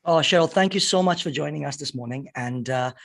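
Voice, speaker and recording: A man co-hosting a podcast with a croaky voice, chatting and asking questions. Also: fairly high pitch, a little fast. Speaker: podcast co-host.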